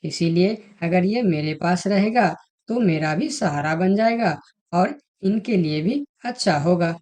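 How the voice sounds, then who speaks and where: Slow, mellow female fable animal played by a woman. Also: fairly low pitch, natural voice. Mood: earnest. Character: female talking animals, fox and elephant, in a fable. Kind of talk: Hindi animated moral story dialogue.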